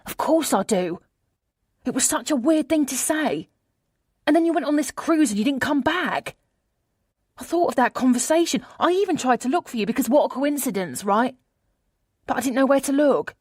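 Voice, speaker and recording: A woman's voice: high voice, expressive, moderate pace, darkish tone, husky, no echo, clean studio sound, pitched above the performer's own voice. A woman voicing a distraught, incredulous female friend who speaks bluntly and emotionally in an audiobook. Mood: bewildered.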